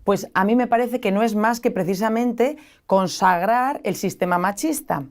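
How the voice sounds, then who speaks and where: A woman speaks with a strong Basque Spanish accent in a smooth, resonant voice. She is a studio interview guest who builds long, thoughtful arguments point by point. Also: mid-range voice, normal speed. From San Sebastián, Spain. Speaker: guest, association founder.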